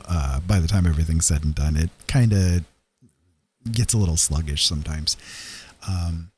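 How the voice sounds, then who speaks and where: A man hosting a livestream, chatting casually in a halting, rambling way. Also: low-pitched, a little mellow, a little hiss or hum. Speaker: livestream host.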